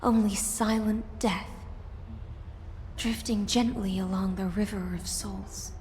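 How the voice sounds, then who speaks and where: A woman playing a solemn, sorrowful sea siren in a video game, speaking poetically and gravely. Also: medium pitch, steady tone, slow, a little mellow, slight background noise, natural voice. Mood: wistful, solemn. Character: sorrowful lone sea siren turned graceful human, solemn. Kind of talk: dramatic game monologue. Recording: long hall reverb, music behind.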